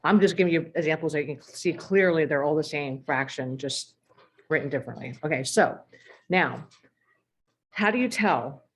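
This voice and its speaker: Low-voiced woman teaching, voice going hoarse. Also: normal speed. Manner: clears throat often, talks through examples step by step. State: losing her voice. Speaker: math teacher. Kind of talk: recorded math lesson.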